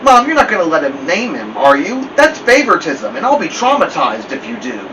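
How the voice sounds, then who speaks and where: A man voicing an excitable, insistent young boy in a children's storybook. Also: on the high side, fairly quick, pitched above the performer's own voice. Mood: pleading. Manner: pleading, insistent. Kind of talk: children's storybook character reading. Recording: distant, noisy, dull room recording.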